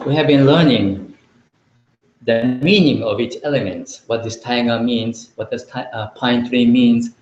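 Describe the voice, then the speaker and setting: Slow man giving a talk. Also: mid-range voice, darkish tone. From Japan. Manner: hesitant, many filler pauses, plain explaining tone. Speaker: Buddhist lecturer. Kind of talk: informal lecture to a small group. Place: indoor meeting room. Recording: roomy, slightly dull, faint room hiss.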